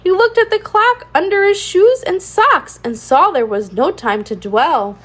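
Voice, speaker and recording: A woman reading a children's storybook aloud in an animated style, with a singsong cadence and expressive emphasis. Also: very high-pitched, measured pace. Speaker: children's read-aloud channel host.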